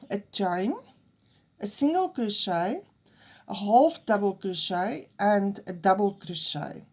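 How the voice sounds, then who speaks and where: Woman, Afrikaans accent, crochet teacher, slow pace, mid pitch, hesitant. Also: darkish tone. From South Africa. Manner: hesitant and nervous, with fillers and restarts. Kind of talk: unedited crochet lesson.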